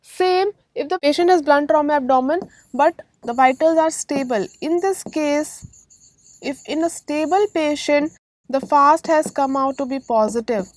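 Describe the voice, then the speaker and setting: A woman with an Indian accent gives an exam-prep medical lecture in a high-pitched voice. She stresses and repeats key points, often asking questions to check understanding. The sound is dry, with very little room echo. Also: unhurried.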